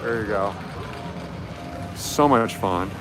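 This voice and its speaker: A man's voice: fairly low pitch, takes it slowly. A man hosting a live walking tour, talking casually and informally as he explains things. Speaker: livestream host and street tour guide. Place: outdoors on city streets at night. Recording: noisy outdoor city street with traffic.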